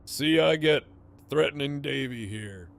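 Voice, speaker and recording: Man playing a slow, mocking gang boss. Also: medium pitch, creaky, a bit bright. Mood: mocking menace. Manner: taunting, theatrical, drawn-out delivery. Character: menacing, mocking, foul-mouthed male gang leader. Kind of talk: audio drama acting.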